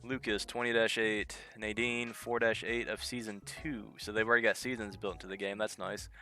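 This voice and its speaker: A man's voice: on the low side, little pitch movement, moderate pace, sharp tone. A young man with a head cold giving casual commentary on a mobile game, thinking aloud as he reads out skill text. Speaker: gaming channel host. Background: game music.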